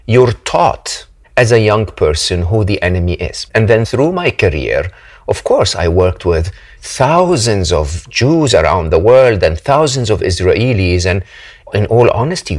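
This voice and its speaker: A man from Egypt, hosting an interview and speaking in an effusive, emphatic, heartfelt way. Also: lowish voice. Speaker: host. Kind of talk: conversational interview.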